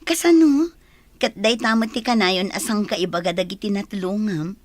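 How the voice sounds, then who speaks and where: An actress playing a supportive, devoted wife in an Ilocano radio drama, affectionate and encouraging, with an Ilocano accent. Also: female voice, medium pitch, moderate pace, a little hiss or hum, natural voice. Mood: proud. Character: supportive, devoted wife of a charitable public figure.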